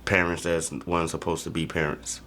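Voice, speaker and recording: A man with a deep American voice co-hosts a casual music reaction. He speaks in short, somewhat hesitant phrases. There is faint background noise around his voice. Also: monotone, brisk, creaky, sharp tone. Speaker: music video reaction co-host.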